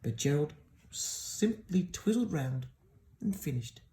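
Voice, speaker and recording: A woman reading a children's story expressively, in an animated way with gentle asides to a child. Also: deep voice, slow pace. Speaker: storybook reader.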